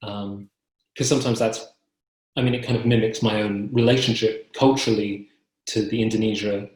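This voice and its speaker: A young man talks reflectively in an interview, halting and rephrasing as he goes. His voice sits fairly low and stays in a narrow range. The sound is a roomy, echoing video call from a room at home. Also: fairly even intonation, a little mellow. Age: young adult. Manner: hesitant, halting, self-correcting. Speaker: poet being interviewed. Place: book-lined room at home, indoors. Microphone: computer mic on video call.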